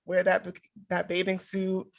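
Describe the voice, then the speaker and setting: A woman's voice: fairly low pitch, monotone, a little slow, gravelly. A woman hosting a podcast in a casual, slangy, affirming interview style. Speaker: podcast host.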